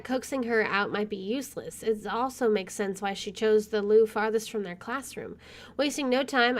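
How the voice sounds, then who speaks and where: A woman hosting a gaming video, reading visual novel narration aloud with casual asides. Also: medium pitch.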